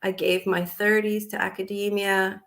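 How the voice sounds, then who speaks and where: Animated American woman, laughing guest. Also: medium pitch, normal speed. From United States. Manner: animated, laughs often, many fillers. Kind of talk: casual interview chat.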